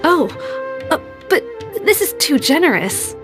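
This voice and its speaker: A cheerful high-voiced waitress. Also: female voice, big pitch swings, a little slow, music in the background. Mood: polite. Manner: polite and bright. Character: polite, cheerful young female waitress. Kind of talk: video game cutscene dialogue.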